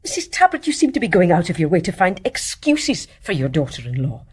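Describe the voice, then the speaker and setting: An actress playing a prim, judgmental, indignant nurse in a radio drama, formal and accusatory. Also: female voice, lowish voice, sing-song, fairly quick, darkish tone, husky. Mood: shocked, indignant. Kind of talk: stage-play radio drama acting.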